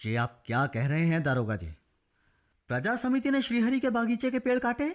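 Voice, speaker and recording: An actor playing a principled, dignified village teacher in a radio play, earnest and measured. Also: male voice, very high voice. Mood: shocked. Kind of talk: radio drama acting.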